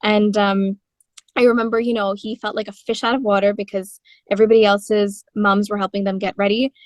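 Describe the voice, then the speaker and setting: A young woman from India talks through her story in an animated way, dropping in fillers and laughing easily. Her voice sits at a middle pitch and is recorded dry and close. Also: fairly quick, no echo. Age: 20s. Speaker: guest singer-songwriter. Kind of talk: conversational interview.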